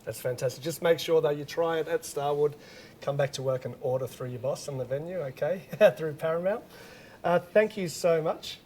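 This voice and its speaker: A man's voice: a little high, moderate pace, darkish tone, a little hiss or hum. A man hosting a live online whisky masterclass, upbeat and laughing often. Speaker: host.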